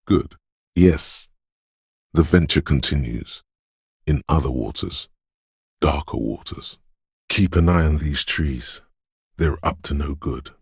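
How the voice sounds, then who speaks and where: A man voicing a brooding, superstitious inner voice of intuition in a video game, speaking in a hushed, portentous, theatrical way. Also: no room sound, clean studio sound, heavily acted. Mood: brooding, conspiratorial. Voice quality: very deep, gravelly, husky. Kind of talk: dramatic video game narration.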